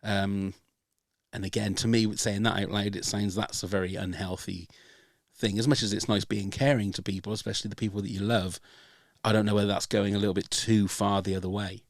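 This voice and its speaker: A man's voice: lowish voice, steady tone, brisk, dry recording, studio quality. An older man hosting a podcast, talking hesitantly and openly about himself, with many ums and restarts. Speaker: podcast host. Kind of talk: casual chat.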